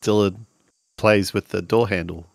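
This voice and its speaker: A man's voice: lowish voice, steady tone, a little slow, gravelly, no room sound, studio quality. A man improvising casually as a player in a tabletop roleplaying game.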